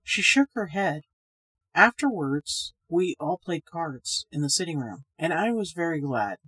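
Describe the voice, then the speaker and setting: A woman's voice: sits low, big pitch swings, a little slow, fairly bright tone, breathy edge, no echo. A woman reading a scripted ghost story aloud as the narrator. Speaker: story reader, podcast host.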